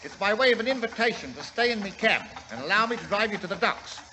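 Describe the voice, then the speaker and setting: Cockney cabbie, high bright voice, deferential but muddled, old radio drama, faint hiss. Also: male voice, expressive, low-quality recording. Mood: cheeky, muddled. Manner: chatty, halting recitation of a message. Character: cockney cab driver, deferential, muddled, reciting orders. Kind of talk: 1940s radio acting.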